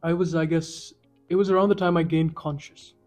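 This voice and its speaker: A man's voice: a little high, steady tone, a little fast, darkish tone, faint music behind. A young adult man from Kuwait, a podcast guest who talks in a playful, joking way. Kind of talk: casual podcast chat.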